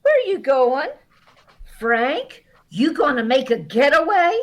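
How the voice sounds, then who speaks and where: High, swooping, exasperated wife, acted by a woman. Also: big pitch swings, slow, exaggerated voice. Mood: puzzled, wry. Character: exasperated, suspicious, sharp-tongued wife in radio comedy. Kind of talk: 1950s radio comedy acting.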